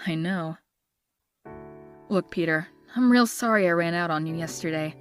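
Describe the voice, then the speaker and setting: A woman's voice: lowish voice, moderate pace, soft background music, natural voice. A woman playing a sincere, apologetic young love interest in a comic dub, earnest and dramatic. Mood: earnest, confessional.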